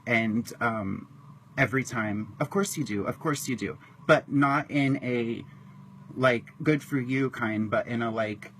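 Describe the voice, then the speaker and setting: A man in his thirties from Washington state tells his own story in a halting, emotional way, with many pauses and ums. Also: medium pitch, a bit bright, a little hiss or hum, poor audio. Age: 30s. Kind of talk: personal testimony to camera.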